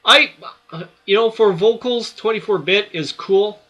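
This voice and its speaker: A man giving a software tutorial, explaining each step in an unhurried way with many fillers, speaking into a desktop studio microphone. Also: sits high, highs cut off. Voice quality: loud voice. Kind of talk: screen-recorded tutorial.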